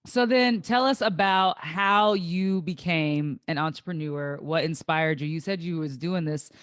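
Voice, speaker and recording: A woman's voice: low-pitched, dry recording. Woman host, dry close sound. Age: late 20s. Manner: friendly, encouraging, quick backchannels. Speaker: podcast host. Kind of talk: casual interview.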